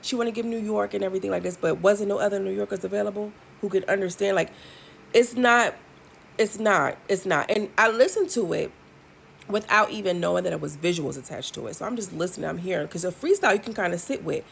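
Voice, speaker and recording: A woman's voice: mid-range voice, fast, gravelly, slight background noise. A woman in her thirties giving blunt, sassy music commentary for her video channel, with lots of ums and asides. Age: 30s. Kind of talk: casual opinion commentary.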